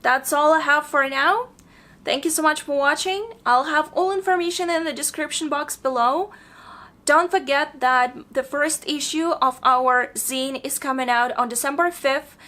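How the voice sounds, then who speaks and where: A woman's voice: high voice, normal speed, pushed and bright. A woman chatting casually in a book review vlog from her apartment, losing her voice, with lots of "like" and "um". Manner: chatty, with frequent "like" and "um". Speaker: book vlogger. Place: home apartment.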